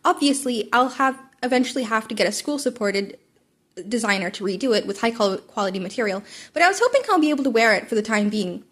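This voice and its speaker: A woman's voice: on the high side, expressive, fast, fairly bright tone. A woman voicing an awkward, blithely reckless teenage schoolboy who is nonchalant and eager, in a story reading. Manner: nonchalant, eager, matter-of-fact about danger. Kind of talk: character dialogue in a fan fiction reading.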